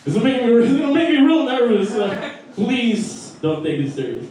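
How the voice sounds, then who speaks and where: Young filipino guy doing standup in a record shop, high voice, super echoey and far from the mic. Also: very animated, normal speed, warm tone, a little hiss or hum. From Philippines. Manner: loose, profane, laughing at his own jokes. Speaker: stand-up comedian. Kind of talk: stand-up set. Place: small record store stage. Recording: roomy, distant live recording.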